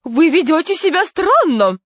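A woman's voice: very high-pitched, big pitch swings, normal speed, no echo, pitched above the performer's own voice. A woman voicing an indignant, flustered female hospital worker in a storybook, exclaiming in scandalised protest. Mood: shocked indignation.

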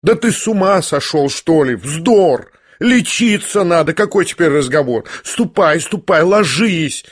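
A male reader puts on an older lady of rank who scolds everyone around her. The voice is pitched up and husky, loud and indignant, hurling reproaches in a storybook reading. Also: animated, unhurried. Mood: alarmed scolding. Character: agitated older noblewoman, fiery and scolding. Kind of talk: audiobook character reading.

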